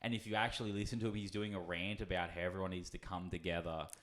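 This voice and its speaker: A man's voice: sits low, little pitch movement, fast, a bit bright. A man hosting a podcast in casual chat, rambling through stories and laughing as he talks. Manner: rambling storyteller, laughs while talking. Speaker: podcast host.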